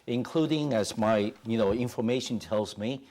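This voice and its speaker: A man's voice: mid-range voice, moderate pace, darkish tone. A middle-aged man hosting a TV interview show in a studio, polite and leaning on "you know" fillers, on a lapel mic. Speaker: TV talk show host.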